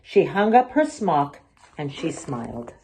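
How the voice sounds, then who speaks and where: A woman reading a children's picture book aloud as an expressive storyteller. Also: sits low, unhurried, poor audio. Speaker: storybook reader.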